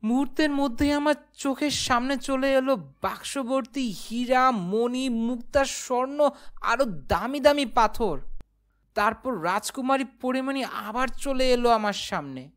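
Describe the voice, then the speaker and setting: A woman's voice: sits high, normal speed, close to an everyday voice. A woman voicing an honest, plucky yet nervous young human who narrates a cartoon ghost story expressively. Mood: amazed. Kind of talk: cartoon first-person narration and dialogue.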